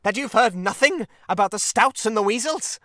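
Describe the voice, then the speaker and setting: A man's voice: fairly low pitch, normal speed, fairly bright tone, dry recording, clean studio sound. Man as an earnest, emphatic storybook water rat. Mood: agitated. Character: earnest, excitable male water rat friend. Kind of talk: audiobook character acting.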